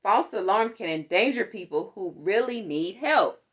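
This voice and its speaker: A woman reading a children's picture book aloud in an expressive, deliberate way, with playful exclamations. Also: mid-range voice. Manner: deliberate, animated, with playful sound words and exclamations. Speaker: storytime reader for a fire department.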